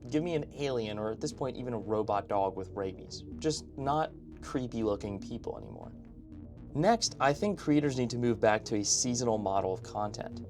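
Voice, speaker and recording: A man's voice: fairly low pitch, big pitch swings, moderate pace. A man delivering casual, opinionated video essay commentary as a host, with informal conversational asides. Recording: background music under voice-over. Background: music.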